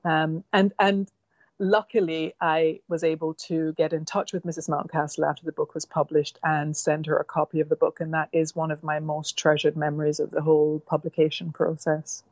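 Middle-aged novelist with a mixed Jamaican-English accent; low, flat delivery, reflective, muffled lo-fi recording. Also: female voice, no room sound. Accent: mixed Jamaican, Caymanian and English. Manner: reflective, measured, with filler ums. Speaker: novelist guest. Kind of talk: interview conversation.

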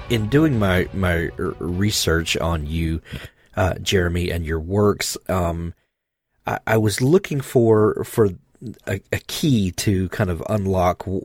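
Slow, halting deep-voiced interviewer. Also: male voice, no room sound. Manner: halting, many fillers and restarts. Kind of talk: interview question.

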